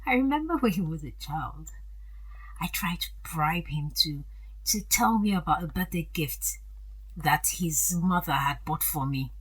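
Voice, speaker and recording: An actress playing a wealthy, gracious older aunt in an audio drama, chatty and confiding, with a hesitant, deliberate delivery. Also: female voice, low-pitched, animated, breathy edge, full character voice, rough recording. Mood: confiding, musing.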